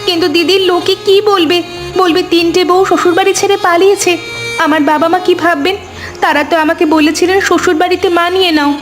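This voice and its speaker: Woman voicing a timid, high-pitched young wife. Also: steady tone, fairly quick. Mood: frightened, worried. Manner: plaintive, fearful. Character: timid, anxious youngest daughter-in-law. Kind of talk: cartoon drama voice acting. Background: music.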